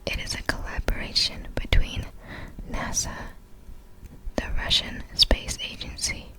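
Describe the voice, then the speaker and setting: A woman speaks entirely in a soft, breathy whisper in the style of an ASMR video. She reads facts very slowly, in short halting phrases. Her manner is apologetic, and she tends to stumble over difficult words. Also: low-quality recording.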